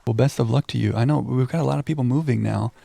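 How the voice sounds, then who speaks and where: Mellow, creaky-voiced male game streamer. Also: mid-range voice, fast, no echo. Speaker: livestream game host. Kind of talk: casual chat with viewers, reading game text aloud.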